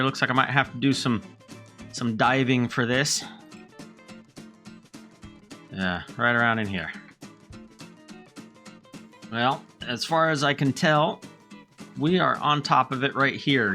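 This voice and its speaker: Bright-voiced man hosting a game playthrough. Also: medium pitch. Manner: friendly, relaxed narrating. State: slight head cold. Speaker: let's play host. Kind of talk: gameplay commentary.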